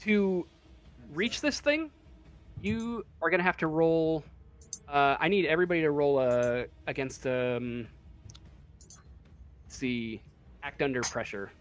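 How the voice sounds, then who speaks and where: Slow, halting male game master. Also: a little high, expressive, soft background music. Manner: halting, searching for words, casual. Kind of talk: improvised narration of a tabletop game.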